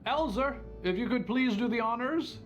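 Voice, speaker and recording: A man's voice: very high-pitched, lively intonation, normal speed, lo-fi sound, soft background music. A man voicing a polite, eager and slightly nervous young bartender in an anime game, courteous and hesitant. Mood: thoughtful.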